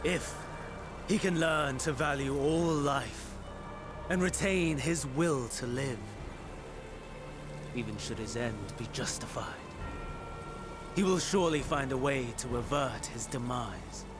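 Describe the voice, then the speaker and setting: Slow, grave overseer acted by a man. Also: mid-range voice, lightly acted. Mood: solemn, resolute. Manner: measured, formal, grave, deliberate pauses. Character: solemn, coldly reasoning male overseer, resolute and troubled. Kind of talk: dramatic video game acting. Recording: music behind the voice.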